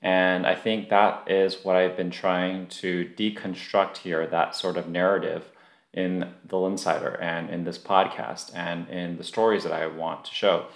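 Guy hosting a podcast, lowish voice, easygoing chatty way of talking, kinda echoey room. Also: measured pace. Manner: relaxed, conversational with fillers. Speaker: podcast host. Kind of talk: podcast interview. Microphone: remote recording.

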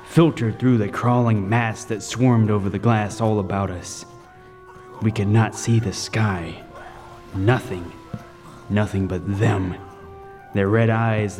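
A man performs a lighthouse keeper recounting a horror story as radio drama. His medium-pitched voice is mellow and dark, with haunted, deliberate phrasing. It echoes in long reverb with music underneath. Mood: dread. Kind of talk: dramatic first-person radio narration.

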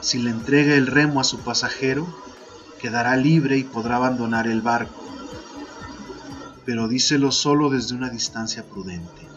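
A man narrating a literary fantasy poem as a podcast host, in a measured, deliberate storytelling style. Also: mid-range voice, fairly bright tone, dull audio. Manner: measured, deliberate, second-person storytelling delivery. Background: music.

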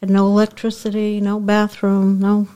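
A woman's voice: medium pitch, completely flat delivery, normal speed, mellow, slight background noise. An older woman from New Hampshire, a podcast guest recalling her childhood in plain, brief answers. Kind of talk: reminiscing in conversation.

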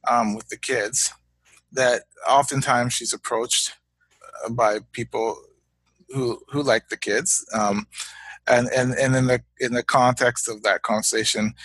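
A Canadian man and father of young children, talking as a guest in a reflective interview, measured and halting, often repeating words as he thinks. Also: medium pitch, fairly bright tone, husky, dry recording, rough recording.

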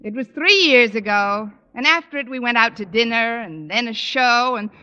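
An actress playing a wisecracking middle-aged high school teacher with dry, deadpan sarcasm in a 1950s radio sitcom. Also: female voice, moderate pace, muffled recording. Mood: wry. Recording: noisy old radio broadcast recording.

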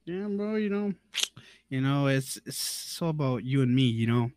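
A man's voice: mid-range voice, lively intonation, takes it slowly, darkish tone, a little hiss or hum. A man chatting casually as a podcast guest, slangy and jokey, peppering his Spanish with English fillers. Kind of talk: casual bilingual chat.

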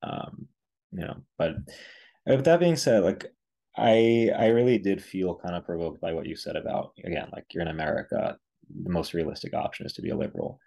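A man's voice: low voice, brisk, vocal fry, mellow. A man hosting an interview, asking questions in a searching way with many fillers. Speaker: interviewer, host.

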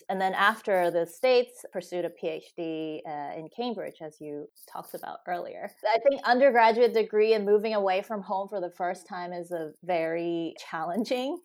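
Woman, from Hong Kong, interview guest, lower voice, thoughtful, filler words. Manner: thoughtful, many fillers like "you know" and "um". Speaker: guest researcher. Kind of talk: podcast interview.

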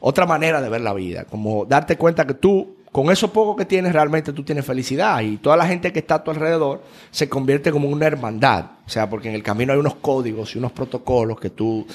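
A man's voice: medium pitch, a little fast. A young adult man with a Dominican accent, telling stories conversationally as an interview guest. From Dominican Republic. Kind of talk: casual conversational storytelling.

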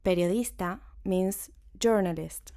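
A woman hosting a language-learning podcast, reading a story and vocabulary with clear, careful enunciation. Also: fairly low pitch, slow pace, warm tone. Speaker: podcast host and story narrator. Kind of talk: scripted narration for language learners.

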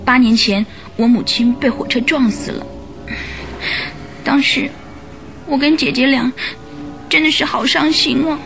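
A young lady in a radio play, with a fairly high voice. She is polite, hesitant and fearful, with sorrow in her telling. Soft music runs behind her. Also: fairly even intonation, a little slow, creaky, long pauses, heavily acted. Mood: sorrowful recounting. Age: young adult. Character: frightened, polite, sorrowful young gentlewoman seeking help. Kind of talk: Chinese radio drama acting.

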